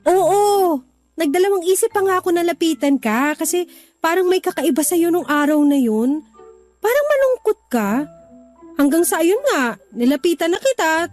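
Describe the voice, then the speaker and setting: A woman's voice: high voice, darkish tone. A woman voicing a young woman earnestly confessing her long-held love, in radio drama style. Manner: tender, earnest.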